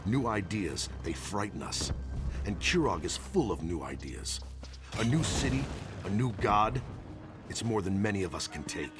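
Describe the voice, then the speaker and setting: An actor playing a proud, grimly skeptical orc warrior in a video game, telling of war in a measured, matter-of-fact way. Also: male voice, fairly low pitch, very animated, music in the background. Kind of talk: video game character dialogue. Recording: loud battlefield noise behind the voice. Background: battle ambience with artillery.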